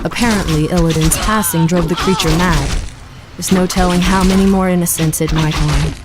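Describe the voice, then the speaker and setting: An actress plays a night elf soldier in a fantasy game. She is respectful and earnest, a dutiful scout speaking over clear background music. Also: lowish voice, steady tone, normal speed, natural voice. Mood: dutiful concern. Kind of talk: dramatic video game cinematic acting.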